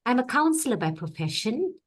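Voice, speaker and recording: A woman's voice: fairly high pitch, big pitch swings, brisk. A woman from Singapore, a counselor, introducing herself to a webinar in a hesitant, thoughtful way over a video call.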